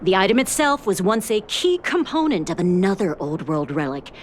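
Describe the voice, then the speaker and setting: A woman's voice: mid-range voice, expressive, normal speed, slight background noise, lightly acted. A woman voicing a formal, showy auctioneer in a video game, speaking in a presentational way. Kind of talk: scripted video game announcement.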